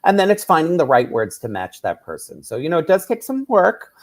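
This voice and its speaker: New author explaining eagerly. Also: male voice, a little high, wildly swooping pitch, a little fast. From New York. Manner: enthusiastic, explanatory, tags "right?". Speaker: author and drag performer, guest. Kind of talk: radio interview.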